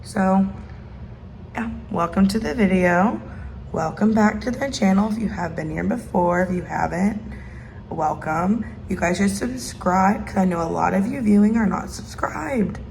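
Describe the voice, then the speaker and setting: A woman's voice: mid-range voice, unhurried, gravelly, a little mellow, a little hiss or hum. A young woman in college, chatting casually to camera as a vlogger, rambling as she goes, filmed on a phone propped on a bathroom sink. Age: young adult. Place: small bathroom. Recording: echoey small bathroom.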